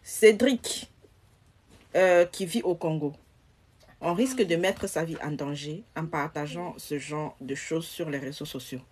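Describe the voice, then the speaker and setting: A young woman speaks close to a phone camera in a small room, her voice low and somewhat husky. She talks to her audience directly, pressing her points with emphatic repetition. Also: a little slow, a little mellow. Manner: emphatic, addresses viewers directly. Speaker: social media commentator. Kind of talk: casual commentary.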